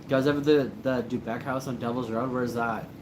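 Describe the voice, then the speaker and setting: A man hosting a casual livestream, relaxed and joking as he reads comments after a few drinks. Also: medium pitch, fairly quick, noisy background. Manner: relaxed, joking, a little tipsy. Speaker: host of a paranormal channel livestream.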